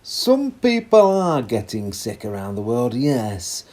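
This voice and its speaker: A man playing a patient, reassuring father who explains things in a children's storybook, with a husky voice. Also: medium pitch, wildly swooping pitch, takes it slowly, darkish tone. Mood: reassuring, explaining. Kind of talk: read-aloud children's storybook dialogue.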